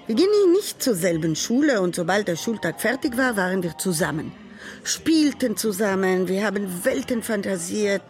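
An actress playing an elderly grandmother who tells a grandchild about her childhood, speaking haltingly with broken grammar. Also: fairly high pitch. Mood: reflective. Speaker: narrator figure telling a childhood memory. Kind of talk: radio drama monologue.

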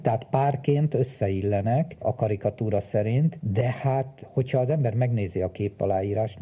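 A man's voice: lowish voice, breathy edge. A man speaking as an expert historian in an interview, explaining in detail, on a dull recording. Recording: dull lo-fi sound.

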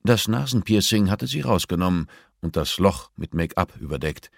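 A man's voice: sits low, animated, moderate pace, creaky, no echo, clean studio sound. A man reading a novel as scripted audiobook narration.

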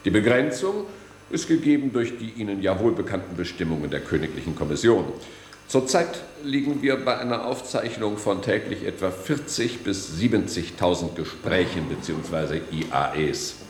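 An actor playing a middle-aged male security chief in a German radio play, authoritative and courteous but guarded, in formal, deliberate officialese. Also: lowish voice, expressive, slight background noise, natural voice. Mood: smooth, guarded.

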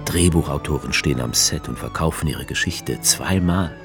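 A sardonic author, extremely deep. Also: male voice, moderate pace. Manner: sardonic, mocking narration. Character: sardonic male author guiding the dictator. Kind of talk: radio play narration. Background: music.

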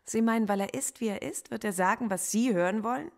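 A woman's voice: fairly high pitch, fast, vocal fry. An actress playing a defiant, articulate female philosophy professor under interrogation in a radio play. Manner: defiant and articulate, precise and cutting.

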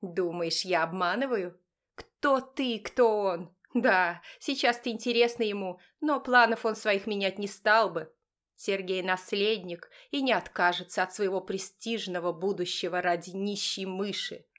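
A woman's voice: mid-range voice, unhurried, fairly bright tone, lightly acted. A woman voicing a haughty, cutting, imperious mother guarding her son in an audiobook drama. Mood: contemptuous, condescending. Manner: cutting, imperious, speaks through clenched teeth.

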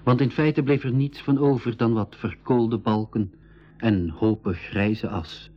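An actor narrating an adventure for a school radio drama in a measured, serious way. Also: male voice, medium pitch, steady tone, natural voice. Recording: dull band-limited 1980s radio recording.

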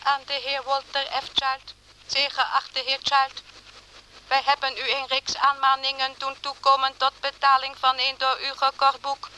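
An actress playing a stiffly courteous, menacing creditor, reading formal letters mechanically in a radio drama on a noisy recording. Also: female voice, high voice, monotone, unhurried, sharp tone. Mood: coolly threatening.

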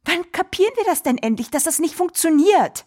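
A woman's voice: very high-pitched, animated, a little fast, darkish tone. A woman playing an anguished, guilt-ridden, agitated activist in a theatrical monologue, exclamatory and repetitive. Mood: agitated, desperate. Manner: exclamatory, repetitive, swinging between whisper and outburst.

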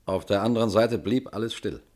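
Man as a deep-voiced colonel telling a mystery. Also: darkish tone, close to an everyday voice. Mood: composed storytelling. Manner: measured storytelling, composed. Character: composed male colonel narrating his own mystery case. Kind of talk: scripted radio mystery narration and dialogue.

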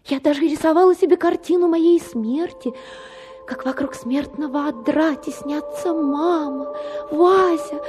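Capricious young wife playing the invalid, extremely high voice, melodramatic sighs, radio drama over music. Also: female voice. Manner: affected, sighing, melodramatic. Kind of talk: dramatized literary short story for radio.

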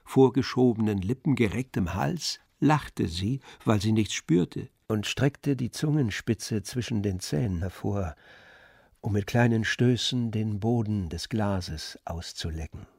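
A man narrating a literary radio play in a measured, composed manner. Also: on the low side, lively intonation, vocal fry, darkish tone, slightly rough, no echo. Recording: dry studio with faint music.

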